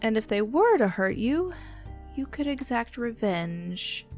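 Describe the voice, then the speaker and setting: A woman voicing a bossy, world-weary but protective female vampire in an ASMR roleplay, speaking in a haughty, condescending, deliberate way. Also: fairly high pitch, very animated, a little mellow, faint music behind, heavily acted. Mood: scornful. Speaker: voice actor in an audio roleplay.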